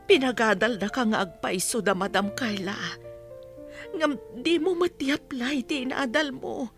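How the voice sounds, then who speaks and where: A devoted household nanny in a radio drama, high-pitched and unhurried. She pleads and admonishes protectively, recorded dry with music underneath. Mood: reproachful. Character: caring older family maid, plainspoken, protective nanny. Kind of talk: Ilocano radio drama acting.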